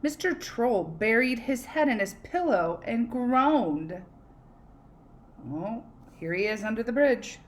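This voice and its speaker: A woman teacher reading a storybook aloud to children in an expressive, conversational way, pausing to prompt them with questions. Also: fairly high pitch.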